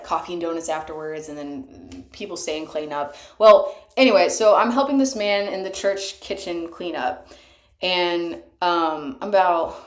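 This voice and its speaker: Soft-spoken young woman in an echoey room. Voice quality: soft higher voice. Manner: soft, halting, earnest, with sighs and small laughs. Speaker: small channel singer. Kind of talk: casual confessional vlog. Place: bathroom. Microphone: cheap microphone. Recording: echoey room, cheap mic.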